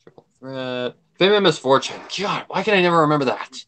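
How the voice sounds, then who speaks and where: A man's voice: on the high side, animated, fairly quick, bright, forceful tone. A man co-hosting a livestream in casual fan chat, giving his opinions emphatically and repeating his points.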